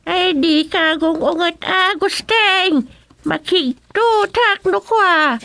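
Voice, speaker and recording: A woman with an Ilocano accent playing an elderly, chatty village mother in a radio comedy drama, with exclamatory, drawn-out delivery. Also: very high voice, sharp tone, dry recording, soft background music, heavily acted.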